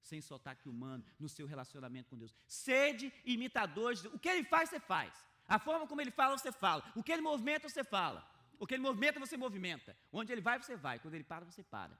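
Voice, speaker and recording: Pastor, quick and animated delivery, acts out scenes in a sermon. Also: male voice, sits high. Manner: lively, acts out little dialogues with laughs. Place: church.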